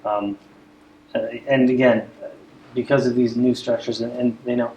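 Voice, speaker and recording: A man's voice: mid-range voice, little pitch movement, normal speed, dark tone, muffled recording. A man on a school's athletics staff speaking earnestly at a committee meeting without a microphone, often repeating words as he builds his points. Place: meeting room. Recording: roomy meeting room, faint background hum.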